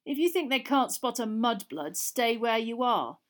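A woman's voice: a little high, big pitch swings, a little slow. A woman reading aloud and voicing a sneering teenage schoolboy wizard with a lazy drawl.

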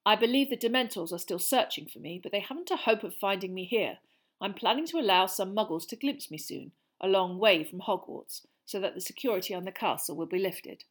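A woman's voice: mid-range voice, brisk, lightly acted. A woman reading out a letter from a fugitive godfather, earnest and a little raised, in a storybook reading. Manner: earnest, letter read aloud. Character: Sirius, fugitive godfather writing a caring letter.

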